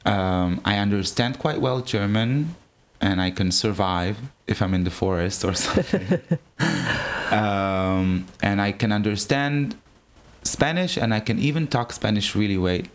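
Man, low voice. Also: unhurried. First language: Hebrew. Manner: animated, laughing, restarts sentences. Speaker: drag performer guest. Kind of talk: casual interview chat.

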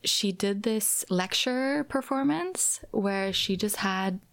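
A young woman from Norway, speaking softly and thoughtfully as a guest in a casual interview. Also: medium pitch, vocal fry. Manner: soft-spoken, thoughtful, with pauses and fillers. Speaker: guest performer.

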